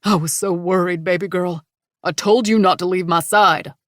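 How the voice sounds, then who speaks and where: A woman's voice: lowish voice, fairly even intonation, moderate pace, no echo, clean studio sound, close to an everyday voice. A woman voicing a protective Texan father, friendly and relieved, with a drawl.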